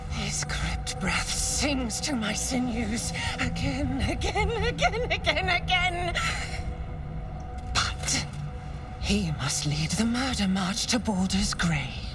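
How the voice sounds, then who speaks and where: A woman's voice: on the high side, very animated, takes it slowly, recorded from a distance, music in the background. A woman playing a deranged, bloodthirsty cult priestess in a video game, theatrical, sing-song and sinister. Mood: manic, gloating. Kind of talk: video game villain acting.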